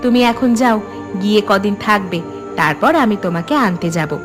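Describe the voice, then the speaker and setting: Woman doing cartoon mom, admonishing her kid, medium pitch, music behind. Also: normal speed, natural voice. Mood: cautioning. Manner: admonishing, explanatory. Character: cautioning mother telling her little son a tale. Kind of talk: cartoon dialogue.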